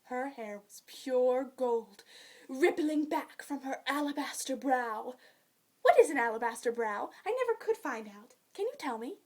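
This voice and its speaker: A woman's voice: high-pitched, expressive, a little slow. A woman performing a dramatic monologue as a talkative, starry-eyed young orphan girl, effusive and dreamy in a theatrical way. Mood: dramatic, sorrowful. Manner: effusive, dreamy, theatrical, swinging between gushing and wistful.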